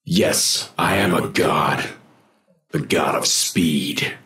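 A man voicing a menacing, godlike speedster villain, declaiming grandly and slowly in a husky, rumbling voice. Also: pushed and bright, soft background music, full character voice. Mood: grandiose menace.

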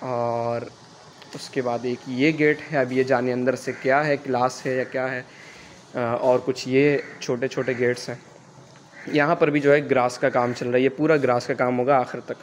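A man's voice: mid-range voice, little pitch movement, normal speed, a little hiss or hum. A young man from Pakistan with a Karachi Urdu accent, casually narrating a walking tour outdoors into a handheld phone, hesitating often with fillers. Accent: Urdu-speaking, Karachi. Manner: hesitant, thinking aloud with frequent "uh" fillers. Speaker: student vlogger giving a campus tour. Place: outdoors on a construction site. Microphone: handheld phone camera.

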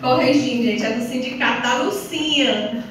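Woman, northeastern Brazilian accent, town councillor at the podium; distant from the mic, loud background noise, semi-formal speech. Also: sits high, moderate pace. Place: small council chamber, indoors. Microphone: phone or camera several metres away. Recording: distant, very echoey, noisy room recording.

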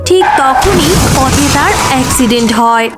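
A woman's voice: high voice, lively intonation, normal speed, loud music behind the voice. A woman narrator reading a scripted story for children.

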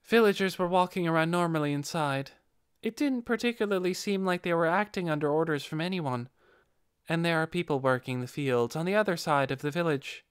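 A man's voice: a little high, fairly quick, clean tone, no room sound, clean studio sound, pitched above the performer's own voice, natural voice. A man voicing a formal, matter-of-fact female spellcaster in a fantasy audiobook.

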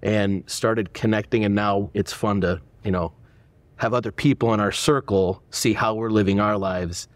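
A man's voice: lowish voice, fairly even intonation, moderate pace, no room sound. A man in his forties, a pastor hosting an interview podcast, talking earnestly with many restarts in a church auditorium. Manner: earnest, stumbling restarts, warm affirmations. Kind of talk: casual conversational interview. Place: dark church auditorium, indoors.

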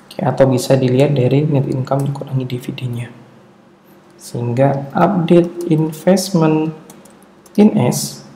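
Dark-voiced man teaching, gravelly. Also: mid-range voice. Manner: halting, deliberate, thinking aloud while working. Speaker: accounting instructor. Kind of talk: step-by-step spreadsheet tutorial narration. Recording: roomy echo, dull muffled tone, faint noise.

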